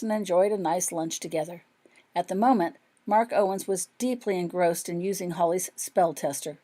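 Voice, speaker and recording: A woman's voice: lowish voice, warm tone. A woman reading her own fiction aloud as scripted audiobook narration.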